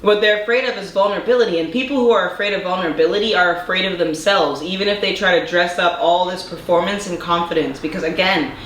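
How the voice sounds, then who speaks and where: A woman's voice: on the low side, fast talker, hardly any pauses. Congested young woman in an echoey room. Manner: earnest, lecturing, asks rhetorical questions. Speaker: vlogger. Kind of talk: casual opinion monologue to camera. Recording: echoey room with faint noise.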